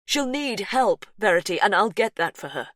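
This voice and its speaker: A woman reading an audiobook, voicing a distressed young man who tries to stay calm and reason gently. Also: fairly low pitch, normal speed, no echo, clean studio sound. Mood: urgent.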